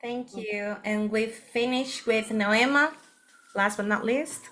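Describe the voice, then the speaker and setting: A woman moderating introductions on a video call, calling on participants in a brisk, friendly way. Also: a little high, fairly bright tone. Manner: brisk, friendly turn-taking. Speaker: session moderator.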